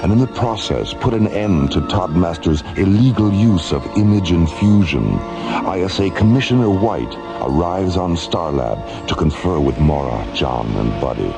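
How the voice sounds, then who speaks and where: A man's voice: deep voice, expressive, a little slow, vocal fry, music in the background, full character voice. A man acting as a grave, portentous narrator of a science fiction radio drama. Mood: ominous, dramatic. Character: grave, ominous male narrator of space tales.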